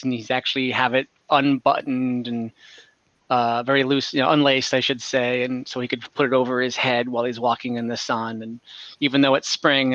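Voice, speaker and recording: Bright-voiced man improvising as game master. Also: mid-range voice, dry recording, highs cut off. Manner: halting, rephrases as he describes. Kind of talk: improvised tabletop game narration.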